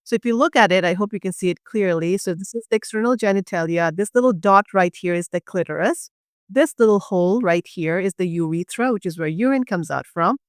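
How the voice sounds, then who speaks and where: A woman from Bangladesh speaks as a guest specialist, earnest and passionate, explaining medical matters in everyday terms and leaning on fillers as she goes. Her voice sits at a middle pitch and the recording is close and dry. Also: brisk, dry recording. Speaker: urogynecologist guest.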